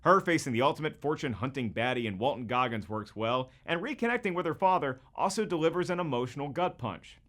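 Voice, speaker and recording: A man presents a scripted video commentary as its host. His voice sits at an average pitch, and his delivery is colloquial and emphatic, with jokey asides worked into the script. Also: lively intonation, fast talker, few pauses, fairly bright tone.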